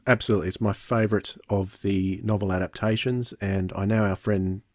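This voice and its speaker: A man with a lowish voice chats casually as a podcast reviewer. He repeats words as he thinks, and the sound is close and dry. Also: steady tone, fairly quick, no echo.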